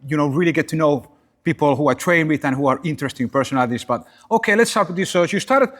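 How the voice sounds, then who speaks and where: A man hosting a podcast, asking questions in an energetic, eager way and often repeating words. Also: a little high, fast. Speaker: podcast host. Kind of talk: casual interview.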